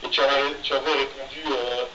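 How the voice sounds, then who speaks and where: A man co-hosting a casual podcast who tells stories haltingly, with many fillers. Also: medium pitch, little pitch movement, background noise. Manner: halting, filler-heavy storytelling. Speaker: podcast co-host, manga artist. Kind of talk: casual chat. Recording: roomy, distant, dull lo-fi sound.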